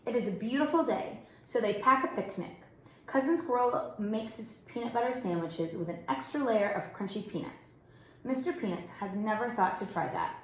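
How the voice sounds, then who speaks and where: A woman's voice: on the high side, lively intonation, moderate pace, slight background noise. A woman working as a storyteller reads a picture book aloud expressively, addressing young children. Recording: roomy, echoing indoor sound.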